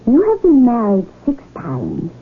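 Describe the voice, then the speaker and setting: Haughty royal highness, highish voice, tinny recording. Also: female voice, huge pitch swings, breathy edge, full character voice. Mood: regal, softening. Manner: imperious, formal, measured. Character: haughty, regal woman posing as foreign royal highness. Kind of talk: 1970s radio drama acting. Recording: tinny, noisy old radio broadcast recording.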